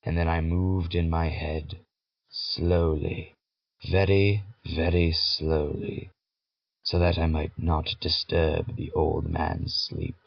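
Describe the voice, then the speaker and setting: An actor playing a nervous, obsessive madman who insists he is sane, in a deliberate, intense gothic audio drama monologue. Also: rumbling low, flat delivery, gravelly, breathy edge, dry recording, muffled recording, exaggerated voice. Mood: proud, secretive. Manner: deliberate, intense, halting, emphatic repetition. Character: nervous, obsessive madman insisting on his sanity, confessing murder. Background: prominent music.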